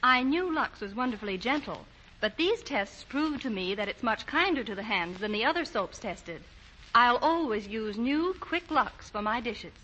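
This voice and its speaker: An actress playing a pleased housewife giving an earnest testimonial in a 1940s radio commercial. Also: female voice, on the high side, animated, normal speed, pushed and bright, dull audio. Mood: pleased, convinced. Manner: earnest, scripted. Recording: noisy, band-limited 1940 radio broadcast.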